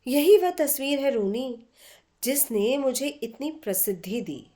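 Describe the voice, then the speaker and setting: A woman's voice: high-pitched, animated, unhurried, dark tone, voice pushed up. A woman voicing a curious, astonished woman painter in a story reading, probing her old friend. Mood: proud. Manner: incredulous, probing.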